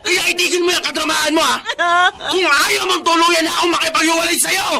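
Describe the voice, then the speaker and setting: Arrogant, gravelly, high-strung husband. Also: male voice, steady tone, fast talker, over loud music. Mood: angry, defiant. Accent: Filipino. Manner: boastful, bullying. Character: arrogant, womanizing, hot-tempered husband. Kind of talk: Filipino radio drama acting.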